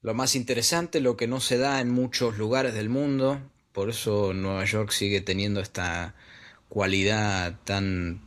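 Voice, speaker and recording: A man with a low, Argentine Spanish voice, speaking as an actor in an interview. He talks in a relaxed, unhurried way, often repeating words and pausing with fillers while he works out his thoughts. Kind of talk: casual conversation.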